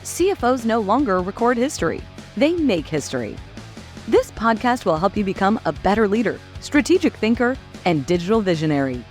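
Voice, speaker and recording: Woman doing the show intro, bouncy lively voice, faint music behind. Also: mid-range voice, a little fast. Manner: upbeat and promotional. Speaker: show announcer. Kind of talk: scripted intro narration.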